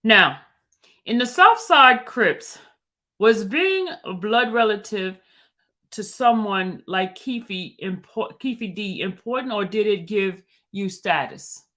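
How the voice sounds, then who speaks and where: A woman reading a male prosecutor's questions aloud in a formal, measured manner. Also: mid-range voice, lively intonation, pushed and bright.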